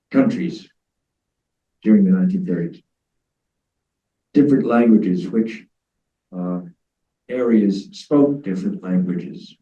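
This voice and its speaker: Low-voiced man asking from far off. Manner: halting, searching for words. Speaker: audience member. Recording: distant, echoey, dull audio.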